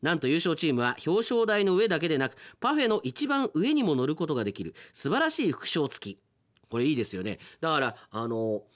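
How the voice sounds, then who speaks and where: A man's voice: on the high side, fairly quick. A man hosting a radio aftertalk, reading listener mail in casual chat and reacting with amused asides. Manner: animated, reacts with amused asides to what is read.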